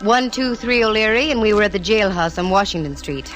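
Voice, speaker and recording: Female gumshoe played by an actress for vintage radio mystery: wisecracking, nervy, medium pitch, old recording with music. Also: expressive, fairly quick, natural voice, poor audio. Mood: wry, brisk. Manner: breezy, slangy, wry. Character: wisecracking, nervy female private eye narrating her case. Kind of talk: 1940s radio detective acting.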